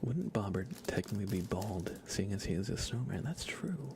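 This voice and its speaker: A man livestreaming a game in ASMR style, softly whispering in a relaxed, casual way as he thinks aloud and chats with viewers. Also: lowish voice, normal speed, noisy background. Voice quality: soft, breathy whisper.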